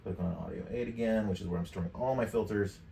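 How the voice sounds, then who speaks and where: A man in his fifties giving a casual, off-the-cuff software demonstration, jokey and slangy, with plenty of swearing. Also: fairly low pitch, quick pace. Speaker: tutorial presenter. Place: indoor home studio at a desk. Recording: roomy, distant mic, clear background noise.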